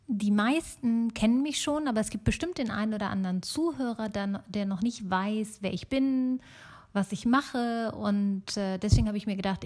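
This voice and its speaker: A middle-aged woman from Germany talking casually and alone as a podcast host. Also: mid-range voice.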